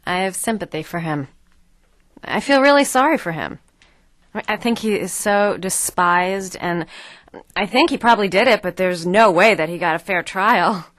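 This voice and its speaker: Female author actress, defensive, halting, indifferent air, medium pitch, audio satire. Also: fairly bright tone, dry recording, clean studio sound, lightly acted. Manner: hesitant, offhand. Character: sullen, defensive female author being interviewed. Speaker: actor. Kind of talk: satirical audio drama acting.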